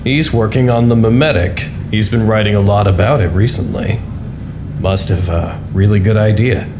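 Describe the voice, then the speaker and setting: A man's voice: on the low side, normal speed, noisy background, lightly acted. A man acting as a dry, questioning, slightly put-upon research scientist in an audio drama. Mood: probing. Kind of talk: naturalistic audio drama dialogue.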